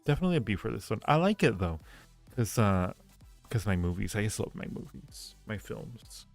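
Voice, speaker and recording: A man with a low voice and a lot of fry chats casually to his livestream viewers. He muses aloud, piecing thoughts together as he goes. Faint music plays behind him. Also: big pitch swings, normal speed. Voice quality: low-pitched, gravelly creak. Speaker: livestream host reading viewer chat.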